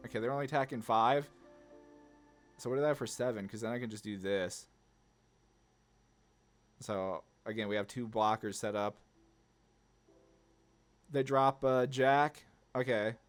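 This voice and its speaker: A man giving casual, rambling commentary on a card game, thinking aloud in slangy, informal speech. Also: mid-range voice. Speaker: gaming content creator. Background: background music.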